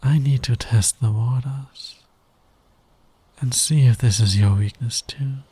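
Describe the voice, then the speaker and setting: A man playing a smug, flirty fantasy villain in an intimate ASMR roleplay, breathy and teasing, often sinking toward a whisper. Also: medium pitch, mellow, dry recording. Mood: teasing, probing. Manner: teasing, slow, deliberate, dominant. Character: flirty, smug male fantasy villain, patient, smitten with hero. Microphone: close microphone, dry sound.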